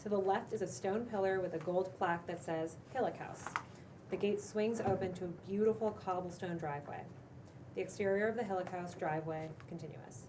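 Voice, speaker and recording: A woman with a slightly low voice narrates stage directions from a script. She sounds far from the microphone, with noticeable noise in the recording. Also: brisk. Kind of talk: scripted narration at a table read. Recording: distant mic, loud room noise.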